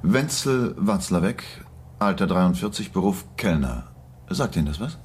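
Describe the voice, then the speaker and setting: An actor playing an unhurried, quietly sceptical police investigator in a radio drama, putting clipped questions with musing pauses. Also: male voice, sits low, expressive, a little hiss or hum. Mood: official, matter-of-fact. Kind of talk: German radio drama acting.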